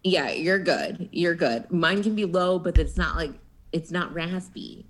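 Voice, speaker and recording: A woman co-hosting a podcast in casual chat, animated and giggly, with lively storytelling asides. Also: on the low side, measured pace. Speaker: podcast co-host.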